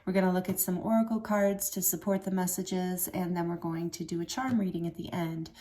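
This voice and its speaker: A woman with a slightly rough throat giving a casual tarot card reading, rambling and correcting herself with many fillers. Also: lowish voice, fairly even intonation, fairly quick, dark tone. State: throat a little rough from fighting off a cold. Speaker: tarot reader.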